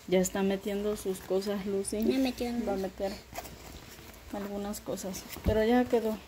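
A woman's voice: medium pitch, fairly even intonation, moderate pace, mellow, slight background noise. A woman in her thirties chatting casually to her viewers in a home vlog, recorded on a phone in a small kitchen. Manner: chatty, addresses viewers as friends. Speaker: vlogger.

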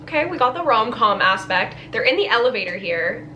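Young female vlogger, high-ish voice, casual slangy reactions, some noise behind her. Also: big pitch swings, fairly quick, bright, forceful tone. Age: young adult. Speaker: book vlogger. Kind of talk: casual trailer reaction vlog. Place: small bedroom, indoors.

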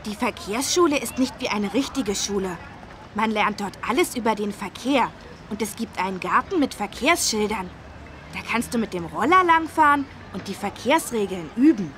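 A woman voicing a bright, friendly young boy who eagerly explains things in a children's cartoon game. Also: mid-range voice, animated, moderate pace, close to an everyday voice. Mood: friendly, explaining. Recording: faint street noise behind the voice.

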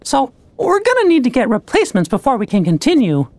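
A man voicing a small, polite, plucky cartoon mouse king in a video game, in an earnest falsetto. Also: huge pitch swings, fast talker, darkish tone, no room sound, full character voice. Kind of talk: animated video game voice acting.

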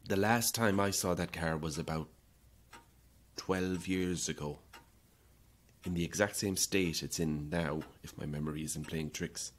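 Audio drama role: cautious, well-mannered hotel clerk, low-pitched, measured and discreet. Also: male voice, slight background noise. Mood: wary. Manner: polite, careful, halting. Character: courteous, cautious male hotel receptionist. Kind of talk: naturalistic audio drama acting.

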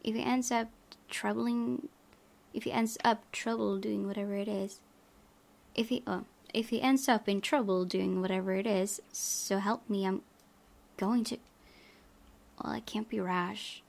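A woman's voice: mid-range voice, steady tone, a little slow, gravelly, darkish tone. A woman hosting a gaming video, reading visual novel text aloud with casual asides.

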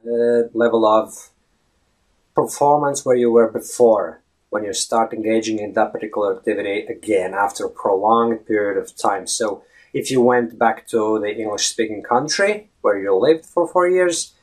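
A man's voice: lowish voice, normal speed, poor audio. A man in his thirties with a Latvian accent, a native Latvian speaker giving casual advice on a video blog, chatty and often restarting words, recorded by a webcam in a small indoor room. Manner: chatty, self-correcting, stretches words for emphasis. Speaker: English fluency blogger. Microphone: webcam at head-and-shoulders framing.

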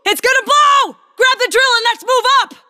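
High, forceful soldier. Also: female voice, moderate pace, full character voice. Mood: urgent shouting. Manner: clipped military callouts, urgent. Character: tough young female soldier, urgent and alert. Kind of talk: video game combat barks.

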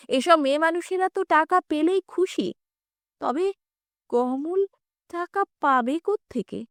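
Woman reader as middle-aged estranged wife, proud and demanding, high voice, cutting deliberate words, dry storybook recording. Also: a bit bright, dry recording, exaggerated voice. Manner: insistent, cutting, deliberate articulation.

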